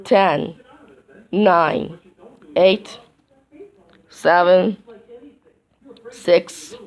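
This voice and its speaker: A woman talking casually to her vlog audience, hoarse and nearly losing her voice from a sinus infection, rambling with many restarts. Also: on the low side, fairly even intonation, drawn-out words. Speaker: YouTube vlogger. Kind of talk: casual vlog chat to camera.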